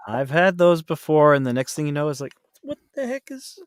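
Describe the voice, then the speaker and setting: A man's voice: fairly high pitch, sing-song, brisk, dry recording. Man telling anecdotes in casual chat, dry sound. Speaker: podcast guest, voice actor.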